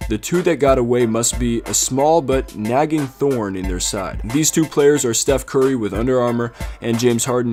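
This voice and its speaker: A man's voice: mid-range voice, darkish tone. Upbeat man hosting a sneaker commentary. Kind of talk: scripted commentary.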